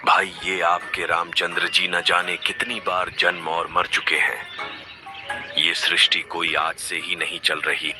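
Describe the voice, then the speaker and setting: A man voicing a calm, cryptic sage in a devotional cartoon, sounding certain and mildly dismissive. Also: sits low, a little slow, over music. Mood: calm, knowing. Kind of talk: animated devotional story dialogue.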